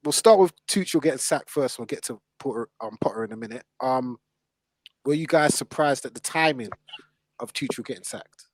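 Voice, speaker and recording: A man's voice: mid-range voice, moderate pace, no echo, low-quality recording. Man, dry close sound, informal. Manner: informal, slangy. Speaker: podcast host. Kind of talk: casual football discussion.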